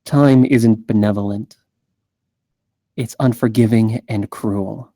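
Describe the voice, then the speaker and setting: A man playing a weary, cynical hard-boiled investigator in a scripted noir table read, speaking in a measured, deliberate way. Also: fairly low pitch, fairly even intonation, mellow, no room sound. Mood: bitter, brooding.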